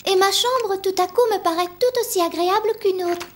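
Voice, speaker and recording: A woman voicing a gentle, dreamy and brave orphaned schoolgirl in a French cartoon dub, speaking with earnest sincerity. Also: very high voice, brisk. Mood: tender, wistful.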